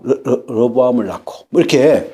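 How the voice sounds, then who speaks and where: Man in his fifties, pastor preaching, very lively pitch, mellow tone, halting delivery. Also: breathy edge. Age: 50s. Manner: animated preaching, pitch swinging up for emphasis and dropping low; halting, with fillers and repeated words. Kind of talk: Sunday sermon.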